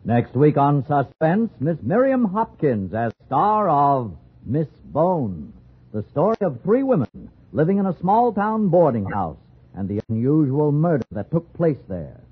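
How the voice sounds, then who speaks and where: A man's voice: a little high, huge pitch swings, unhurried, breathy edge. An American man announcing a 1950s radio drama in a formal, dramatic manner. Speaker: program announcer. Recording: muffled old radio recording.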